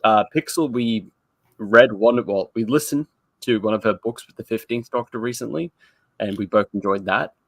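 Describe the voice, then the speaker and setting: A man co-hosting a podcast, talking casually in an animated, rambling, self-deprecating way. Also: lowish voice, moderate pace, dry recording. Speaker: podcast co-host. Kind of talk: casual fan podcast chat.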